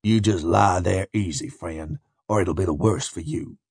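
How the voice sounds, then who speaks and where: Guy putting on a southern frontier tough, low husky growl, sardonic drawl, calm but threatening, audiobook style. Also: moderate pace, no room sound. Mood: quiet menace. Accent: American Southern. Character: tall, sardonic American frontier tough, coolly menacing.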